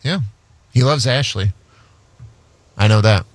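A man's voice: lowish voice, slow pace, no room sound. A man with an American accent chatting casually on a radio show, explaining himself and hedging as he goes. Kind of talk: casual chat.

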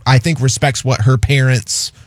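A man's voice: mid-range voice, fairly even intonation, normal speed, no echo, clean studio sound. A man with an American accent chatting casually on a radio show, explaining himself and hedging as he goes. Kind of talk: casual chat.

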